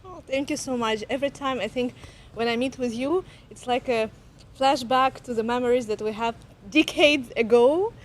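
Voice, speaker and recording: A woman's voice: fairly high pitch, moderate pace, a little hiss or hum. A woman talking conversationally as an expert guest on a show. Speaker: guest marketing consultant. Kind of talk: conversational interview talk. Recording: music behind the voice. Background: music.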